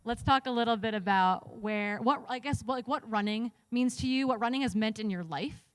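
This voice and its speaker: A woman's voice: a little high, fairly quick. A woman hosting a live, casual stage interview in a rambling, chummy, joking manner. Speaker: host interviewing runners on stage.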